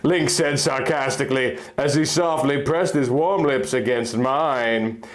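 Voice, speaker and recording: A man's voice: medium pitch, a little slow. A man narrating a piece of fan fiction in a theatrical, exaggerated way. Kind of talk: dramatic reading of fan fiction.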